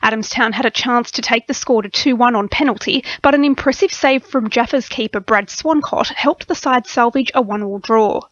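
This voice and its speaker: Female sports newsreader, Australian accent, fairly high voice, scripted delivery, dry studio, slightly dull radio sound. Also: moderate pace, few pauses, dry recording. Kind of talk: scripted sports bulletin reading.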